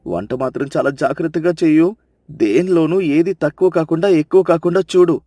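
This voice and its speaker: A woman doing a gruff scolding husband. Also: low voice, fairly quick, mellow, dry recording, pitched below the performer's own voice. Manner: scolding, exasperated. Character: scolding middle-aged village husband, short-tempered.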